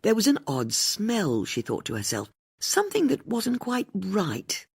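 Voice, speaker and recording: A woman with a husky voice, reading a mystery audiobook as scripted narration. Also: medium pitch, huge pitch swings, a little slow, darkish tone.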